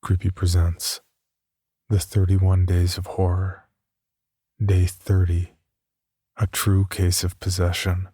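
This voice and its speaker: A man's voice: low voice, completely flat delivery, takes it slowly, pauses often, warm tone, husky. A man reading scripted podcast announcements and credits as a host.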